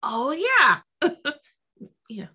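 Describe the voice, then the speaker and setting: A woman co-hosting a veterinary podcast in casual chat, acting out little imagined quotes in an animated way, with a slightly stuffy nose. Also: high voice, extremely slow. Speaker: podcast co-host; veterinary technician.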